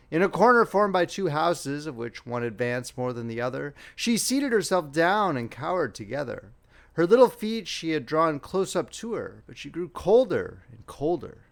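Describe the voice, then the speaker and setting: A man narrating a fairy tale as an audiobook reader, in an expressive, theatrical storytelling manner. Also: a little high, vocal fry.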